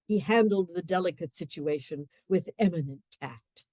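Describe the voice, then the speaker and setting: A woman narrating a radio play in a wry, expressive storytelling manner, dropping to hushed asides. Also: on the low side, normal speed, no room sound.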